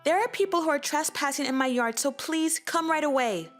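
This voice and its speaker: Woman playing a high-voiced, assertive wronged homeowner. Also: brisk, soft background music, natural voice. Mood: firm. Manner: assertive, pointed. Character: wronged woman homeowner, firm, indignant, sharp-tongued. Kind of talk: manga dub voice acting.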